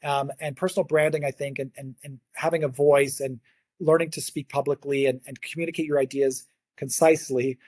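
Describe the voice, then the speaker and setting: A man, a native English speaker, giving earnest explanatory interview answers as a guest, sometimes halting and repeating words. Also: mid-range voice, steady tone, a little mellow, dry recording, studio quality. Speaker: guest, agritech consultancy founder.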